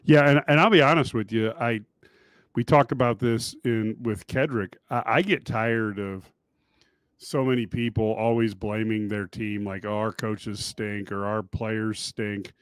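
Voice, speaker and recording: A man co-hosting a sports podcast who talks in a candid, measured way, weighing the game fairly. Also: on the low side, steady tone, clear, resonant tone, no echo. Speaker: podcast co-host.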